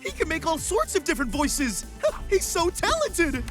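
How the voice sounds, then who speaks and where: A woman's voice: extremely high pitch, big pitch swings, moderate pace, over music. A woman voicing an easily impressed, casual, gushing youngster in a cartoon. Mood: awed. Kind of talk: cartoon voice acting.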